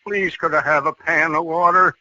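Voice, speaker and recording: An actor playing a touchy, pompous veterinarian in a 1940s radio comedy. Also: male voice, a little high, fairly even intonation, moderate pace, natural voice. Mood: touchy, fretful. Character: touchy, pompous male dog doctor. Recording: very noisy, dull old radio recording.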